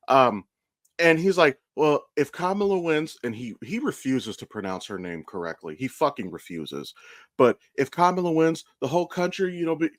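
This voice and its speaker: An American man co-hosting a podcast, chatting casually and telling stories in a blunt, animated way with plenty of swearing. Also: on the high side, dry recording, studio quality. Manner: blunt, profane, animated storytelling. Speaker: podcast co-host. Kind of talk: casual chat.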